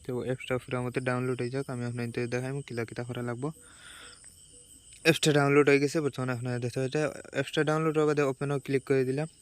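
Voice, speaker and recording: A man's voice: mid-range voice, little pitch movement, racing, clean tone. A man with a Sylheti accent giving a casual step-by-step phone tutorial, repeating himself as he instructs.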